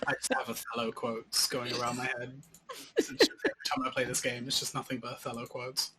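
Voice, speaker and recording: A man's voice: medium pitch, flat delivery, brisk, crowd noise, rough recording. A man talking casually as a player in a role-playing game on an online group call. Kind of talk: casual chat. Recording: clear background noise, clicks, online call.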